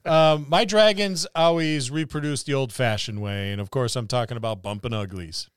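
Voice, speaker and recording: A man's voice: medium pitch, normal speed, clear, resonant tone, no room sound, clean studio sound. A man co-hosting a podcast, chatting casually about tabletop gaming in a joking, musing way. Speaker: podcast co-host.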